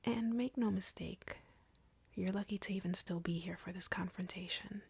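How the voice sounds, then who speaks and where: Woman voicing a breathy, whispering, arrogant vampire woman. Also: on the low side, darkish tone, no room sound, heavily acted. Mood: menacing, taunting. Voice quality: breathy, husky near-whisper with creak. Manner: slow, taunting, condescending, teasing. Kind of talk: scripted roleplay audio, intimate ASMR-like delivery. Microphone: close, dry microphone.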